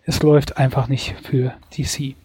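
A man's voice: medium pitch, level tone, moderate pace, warm tone, breathy edge, dry recording. A man hosting a podcast, chatting casually and with strong opinions about a year's films, with many hesitations. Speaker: podcast host. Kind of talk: casual chat reviewing films.

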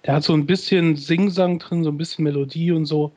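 Ein Mann mit Mainzer Akzent, der als Podcast-Gastgeber locker plaudert und dabei zögernd und mit vielen Füllwörtern erzählt. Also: male voice, fairly high pitch, fairly even intonation, brisk, darkish tone. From Rhenish Hesse, Germany. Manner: rambling, hesitant, many fillers. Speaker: podcast host. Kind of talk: casual chat.

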